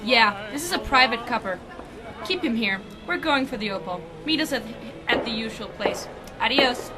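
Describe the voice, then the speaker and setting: A woman's voice: on the high side, animated, moderate pace, music in the background, close to an everyday voice. A woman playing a bossy, hostile gun-toting crook in a detective radio drama, curt and scornful. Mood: commanding.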